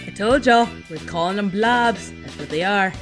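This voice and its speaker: A woman's voice: medium pitch, normal speed, soft background music. A woman co-hosting a fan recap, talking casually and conversationally, with a giggly manner, as she comments on an episode.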